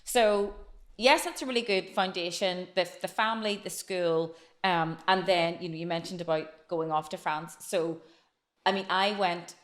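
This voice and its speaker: A woman's voice: fairly low pitch, normal speed. A woman in her late forties, a podcast guest telling her life story in a chatty, flowing way. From Northern Ireland. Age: late 40s. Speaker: interview guest. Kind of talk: casual podcast interview.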